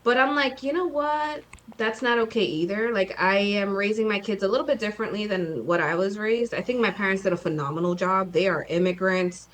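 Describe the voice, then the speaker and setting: A woman in her thirties, a guest author chatting casually in an interview, talking fast with lots of fillers and acted-out quotes. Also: mid-range voice. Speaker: guest, children's book author.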